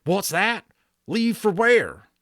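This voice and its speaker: A man voicing an anxious, stubborn cowboy from a storybook, with folksy, emphatic, drawn-out speech. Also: sits high, lively intonation, fairly bright tone, no echo, clean studio sound, voice pushed up, heavily acted. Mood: alarmed. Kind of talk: storybook character voice.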